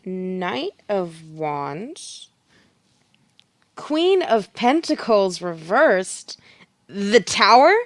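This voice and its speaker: Woman acting as a knowing fortune teller, slow measured delivery, dry close sound, audio drama. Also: medium pitch, sing-song, no echo. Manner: measured, pronouncing readings slowly. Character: deliberate, knowing female tarot reader, Madame Zed.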